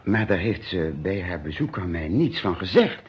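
An actor in a Dutch radio detective drama playing an anxious, lonely male murder suspect in custody, speaking haltingly. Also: low-pitched, big pitch swings, husky, heavily acted. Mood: puzzled. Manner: hesitant, halting. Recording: muffled old radio play recording.

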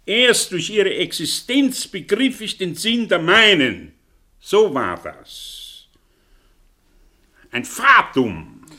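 A brooding, self-important professor in a radio play. The delivery is slow and halting, with dramatic sweeps of pitch. The voice is husky and the manner evasive and theatrical. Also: male voice, sharp tone, full character voice. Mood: rapt, impassioned. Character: proud, evasive male professor, brooding and pompous. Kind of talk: German radio play acting.

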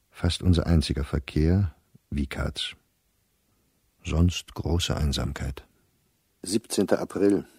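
An actor playing a middle-aged German scholar who reads his despondent, anxious diary in a measured, restrained way for a radio play. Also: low voice, dark tone, husky, dry recording, studio quality. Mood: bleak, resigned, then sober reporting.

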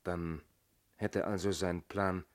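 Casting: inspector's colleague in radio crime play; low voice, measured, sympathetic, skeptical questioner. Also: male voice, little pitch movement. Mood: puzzled, skeptical. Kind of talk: German radio crime drama acting.

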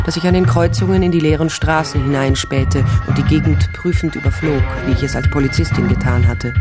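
A woman's voice: sits low, fairly even intonation, a little fast, loud music behind the voice, close to an everyday voice. An actress playing a determined, probing female private detective and ex-policewoman in a German radio crime drama. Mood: tense, brooding. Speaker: lead actor and first-person narrator.

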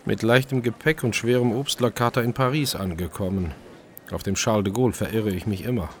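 A man's voice: lowish voice, close to an everyday voice. An actor playing a male restaurant chef who narrates his own crime story in a wry, observant way, in a radio drama. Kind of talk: German radio drama, first-person narration and dialogue.

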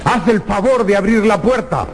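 High-pitched, urgent father. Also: expressive, moderate pace, a little hiss or hum, rough recording. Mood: insistent. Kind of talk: radio drama acting.

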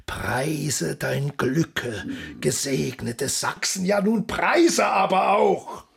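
Radio drama: commanding, impatient composer, theatrical and urgent, highish voice, very animated. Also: male voice, drawn-out words, gravelly, slightly rough, heavily acted. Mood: exhorting, frustrated. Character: Bach, impatient, commanding male composer driving his musician. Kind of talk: German radio drama acting.

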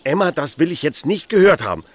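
A man's voice: a little high, sing-song, quick pace. An actor playing a lovesick, beleaguered village policeman in a radio play, plaintive and half-heartedly official, with farmyard noise behind. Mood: pleading. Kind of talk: German radio play acting.

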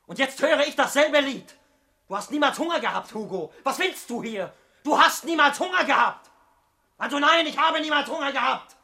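A man's voice: high-pitched, sharp tone, exaggerated voice. An actor in a German radio drama playing an earnest, sensitive young man from a bourgeois home, proud and well-spoken. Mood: anguished outburst.

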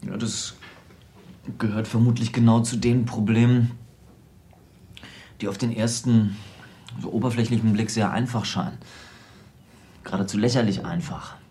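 An actor playing an eccentric, self-absorbed programmer in a radio play, explaining things at length with small hesitations. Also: male voice, lowish voice, steady tone, dark tone, slight background noise, close to an everyday voice. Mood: intent, lecturing. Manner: explanatory, lecturing, halting in places. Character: eccentric, self-absorbed American computer programmer, brilliant tinkerer. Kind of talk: naturalistic radio drama dialogue.

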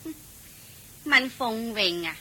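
An actress playing a grudging, hard-up young wife in a radio drama, speaking curtly with a Hong Kong Cantonese accent. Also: female voice, a little high, animated, takes it slowly, sharp tone, slight background noise, heavily acted. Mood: curt, disdainful. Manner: grumbling, curt, sighing. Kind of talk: Chinese radio drama acting.

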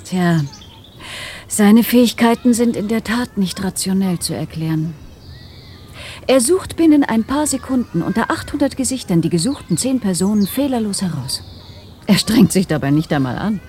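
An actress playing a self-assured, probing neurologist in a radio drama, articulate and wry. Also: female voice, medium pitch, expressive, normal speed, mellow, a little hiss or hum, natural voice. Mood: explanatory, earnest. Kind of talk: 1990s German radio drama acting.

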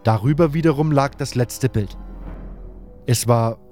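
A man's voice: mid-range voice, measured pace, darkish tone. A man narrating a fantasy audio drama in the first person, as scripted storytelling. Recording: hall-like reverb, music underneath.

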